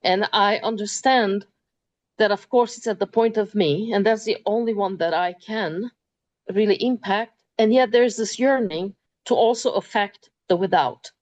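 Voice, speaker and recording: A woman, hosting a talk in a thoughtful way, pausing with many ums. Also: medium pitch. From Transylvania, Romania. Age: 50s. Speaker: interview host. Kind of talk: moderated talk.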